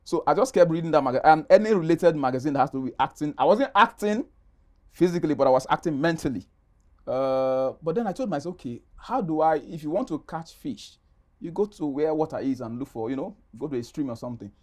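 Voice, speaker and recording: A man's voice: a little high, lively intonation, quick pace. A middle-aged Nigerian man, an actor being interviewed, telling personal stories in an emphatic, conversational way with repeated phrases. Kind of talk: animated personal storytelling in conversation.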